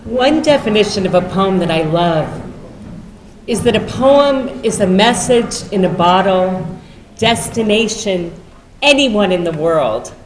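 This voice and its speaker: Middle-aged woman, event introducer, unhurried delivery, podium mic in a roomy space. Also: mid-range voice, lively intonation, crowd noise. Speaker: poet introducing a performer. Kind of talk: introduction speech at a public event. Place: large meeting room with seated audience. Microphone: podium microphone. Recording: roomy hall, some background noise.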